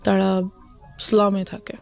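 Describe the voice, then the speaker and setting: Female Bangladeshi interview guest, medium-pitched, personal storytelling with frequent English switches, faint music underneath. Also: completely flat delivery, unhurried, vocal fry, a little mellow.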